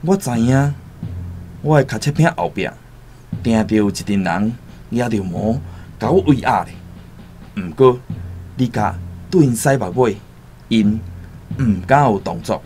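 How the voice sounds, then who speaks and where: A man's voice: mid-range voice, expressive, measured pace, long pauses, a little mellow, faint music behind, natural voice. Actor as defiant young Taiwanese rebel man. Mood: defiant, threatening. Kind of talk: Taiwanese radio drama acting.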